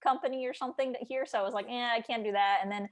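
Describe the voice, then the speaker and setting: A woman's voice: fairly high pitch, fairly even intonation, rapid-fire. A woman chatting casually and informally, often acting out little bits of quoted dialogue as she goes. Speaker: book editor. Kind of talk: casual chat.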